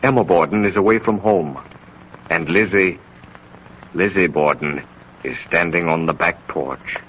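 Old time radio guy narrating, grave and dramatic, deepish, hissy dull sound. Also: unhurried. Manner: dramatic, solemn. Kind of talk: 1930s radio scripted narration. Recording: scratchy, muffled 1930s radio recording.

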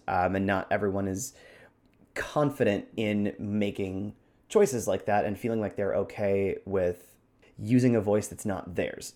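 A man's voice: fairly low pitch, a little mellow. A man co-hosting a podcast in a casual, conversational discussion style. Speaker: podcast co-host.

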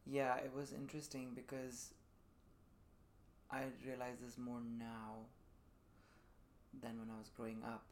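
A man in his thirties from Dubai, a podcast guest telling his personal story reflectively in thoughtful, measured phrases. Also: mid-range voice, monotone. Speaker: podcast guest, performing artist. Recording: faint room noise behind the voice.

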